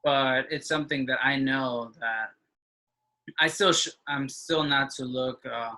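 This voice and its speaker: A man in his twenties, an interview guest, talking with halting speech and frequent restarts. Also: mid-range voice, steady tone, sharp tone. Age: 20s. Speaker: interview guest, engineering student. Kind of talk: casual conversation.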